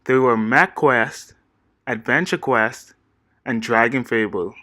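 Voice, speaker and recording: Bright-voiced young man presenting. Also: medium pitch, takes it slowly, no echo, clean studio sound. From Bahamas. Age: 20s. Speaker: game designer pitching himself. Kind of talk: read-aloud scripted presentation.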